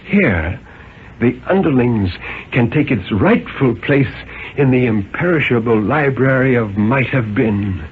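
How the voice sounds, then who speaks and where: A man voicing a solemn, gently chiding ancient man in a slow, sighing, fatherly way for a radio story. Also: mid-range voice, animated, natural voice. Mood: lofty, solemn. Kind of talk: 1950s radio dramatic reading. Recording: narrow-band, noisy old radio recording.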